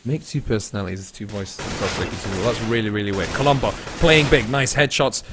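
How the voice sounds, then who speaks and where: A young man casting an esports match, laid-back and tired between plays, rising to fast, excited calls when the action heats up. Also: on the low side, over music. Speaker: esports caster. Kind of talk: live esports commentary mixed with chatting to viewers.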